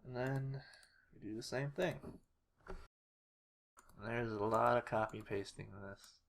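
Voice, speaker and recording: Someone giving an informal editing walkthrough, talking haltingly and muttering to themselves while working. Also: medium pitch. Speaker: tutorial creator. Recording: noisy home recording, keyboard and clicks. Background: mouse clicks and keyboard typing.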